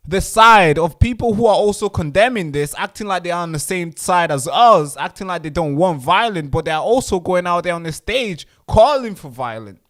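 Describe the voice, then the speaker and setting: A man's voice: sits high, lively intonation, moderate pace, hardly any pauses, a bit bright. A man hosting an online talk show, speaking in a loose, emphatic way and laughing at his own remarks. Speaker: host. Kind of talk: casual interview talk.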